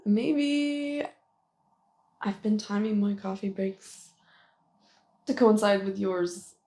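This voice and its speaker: A woman's voice: mid-range voice, steady tone, mellow. A woman playing a shy, flustered young office worker confessing a crush in a nervous, rambling, giggly ASMR roleplay. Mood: shyly confessing. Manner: nervous, halting, rambling, giggly, self-deprecating. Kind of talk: intimate ASMR roleplay monologue.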